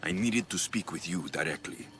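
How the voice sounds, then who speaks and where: Alexios, intense Greek mercenary, widely varied pitch. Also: male voice, lively intonation, normal speed, fairly bright tone, slight background noise. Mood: earnest, guarded. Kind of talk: dramatic video game cutscene acting.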